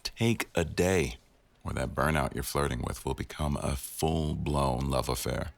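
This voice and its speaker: Male actor as bossy newsroom boss, extremely deep voice, slow drawn-out pacing, wry teasing, fond, intimate audio roleplay. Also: vocal fry, no room sound, heavily acted. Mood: firm, concerned. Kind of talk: intimate boyfriend ASMR roleplay.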